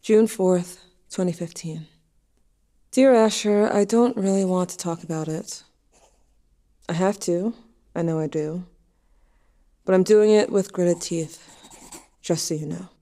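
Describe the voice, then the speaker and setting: Woman as bitter, grieving teen girl, audio-drama letter narration; lowish mellow voice, blunt and confessional. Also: unhurried, long pauses, no echo, clean studio sound, close to an everyday voice. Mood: reluctant, dry. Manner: sardonic, confessional, bluntly informal. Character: angry, grieving teenage girl writing to her dead sibling.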